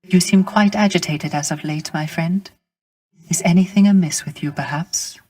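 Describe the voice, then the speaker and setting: A man playing a gentle, melancholy male musician of the underworld in a video game, speaking formally and courteously with wistful, poetic, old-fashioned phrasing. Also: on the high side, moderate pace, breathy edge. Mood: courteous, reserved. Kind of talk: scripted video game character acting.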